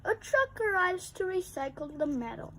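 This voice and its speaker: A woman's voice: very high voice, big pitch swings, normal speed, a bit bright. A young girl reading a children's picture book aloud expressively, acting out the sound effects with emphasis. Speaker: storybook reader.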